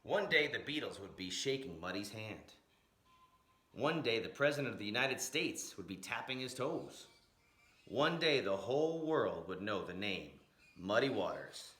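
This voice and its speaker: A man reading a story aloud in an expressive, dramatic narrating style with emphatic, rhythmic phrasing. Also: on the low side, unhurried. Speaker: storyteller reading a picture book aloud, blues educator. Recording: roomy, echoing room sound.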